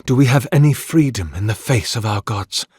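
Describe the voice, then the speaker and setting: An actor playing an ancient, devout priest in a video game, speaking with weary, formal, melancholy courtesy. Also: male voice, medium pitch, animated, a little mellow, husky, no room sound, clean studio sound. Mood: melancholy, longing. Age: older. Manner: formal, measured, eloquent, solemn. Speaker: priest of a temple relic. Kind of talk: scripted video game dialogue.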